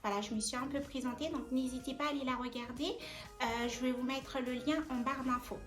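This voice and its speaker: A woman's voice: high-pitched, fairly even intonation, a little fast. A woman, chatting casually and hesitantly to camera as a vlogger sharing advice. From French Guiana. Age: 20s. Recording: echoey room.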